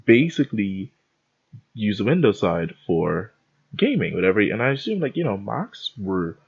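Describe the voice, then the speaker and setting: A man's voice: on the low side, sing-song, moderate pace, creaky, breathy edge, lo-fi sound. A young man from the Bahamas hosting a podcast, telling a rambling, self-interrupting story in a casual rant. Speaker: podcast host.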